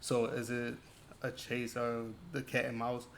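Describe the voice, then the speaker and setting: A man co-hosting a reaction show, working slowly through his thoughts and repeating himself. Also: medium pitch, completely flat delivery, vocal fry, a little hiss or hum. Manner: slow, searching, repeats himself. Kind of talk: casual group chat reacting to a music video.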